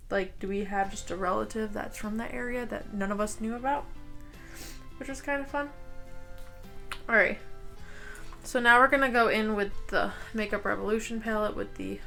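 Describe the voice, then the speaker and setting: Bright-voiced chatty young woman vlogger. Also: a little high. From United States. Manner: chatty, rambling, heavy use of "like" and "um". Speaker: beauty vlogger. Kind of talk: casual get-ready-with-me chat while doing makeup. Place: home, indoors.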